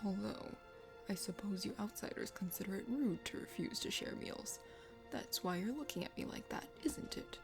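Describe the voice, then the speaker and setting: A proud young hunter prince from a visual novel, acted by a woman, speaks with a gravelly creak. He is lordly yet bashful, often halting over his words. Music plays clearly underneath. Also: medium pitch, expressive, voice pushed down. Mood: haughty.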